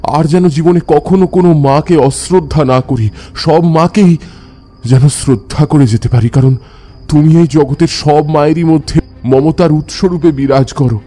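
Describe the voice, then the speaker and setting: Man as mellow-voiced tantric ascetic telling his tale. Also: a little high, measured pace. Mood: reverent, pleading. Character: devout male tantric ascetic recounting his eerie encounters. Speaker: first-person narrator. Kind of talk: dramatic scripted horror narration.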